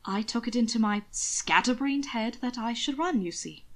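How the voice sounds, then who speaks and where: A woman voicing a languid, cunning young man who feigns innocence with soft, deliberate speech, in an audiobook reading. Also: fairly high pitch, lively intonation. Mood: mock forlorn. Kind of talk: amateur audiobook character reading.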